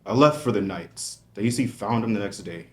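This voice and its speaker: A man's voice: fairly low pitch, lively intonation, moderate pace, close to an everyday voice. A man playing a grieving, defensive character who turns prickly, in a screenplay table read. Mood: grieving, confessional. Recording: roomy echoing table-read recording.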